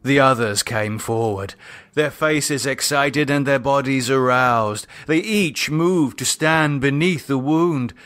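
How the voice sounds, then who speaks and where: A man reads a horror novel aloud as an audiobook narrator. His delivery is slow and measured, with dramatic storytelling weight at a medium male pitch. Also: fairly bright tone.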